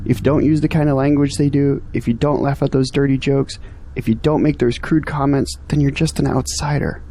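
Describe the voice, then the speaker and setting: A man's voice: medium pitch, fairly even intonation, fairly quick, dark tone, lightly acted. A man playing an earnest, discouraged young graduate in an audio drama, complaining about his troubles. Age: young adult.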